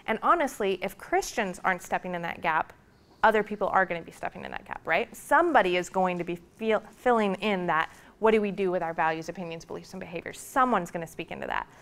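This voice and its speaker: A woman's voice: mid-range voice, fairly bright tone. A woman lecturer speaking to her students, earnest and encouraging, running through lists and questions to make her point. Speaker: public relations lecturer. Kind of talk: classroom lecture.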